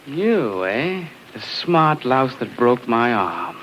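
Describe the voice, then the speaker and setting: Sneering, slow-talking lieutenant. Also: male voice, medium pitch, big pitch swings, a bit bright. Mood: mocking, gloating. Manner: drawn-out, mocking, sarcastic. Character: sneering, vindictive male lieutenant toying with his prisoner. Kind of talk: 1950s radio drama acting. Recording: noisy old radio recording.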